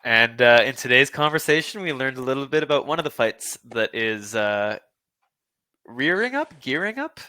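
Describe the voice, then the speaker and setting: Male interview host, non-native English speaker, bright and forceful tone, earnest and casual. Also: medium pitch, lively intonation, moderate pace, dry recording. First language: not English. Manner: earnest, laughs often, many fillers. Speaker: podcast host.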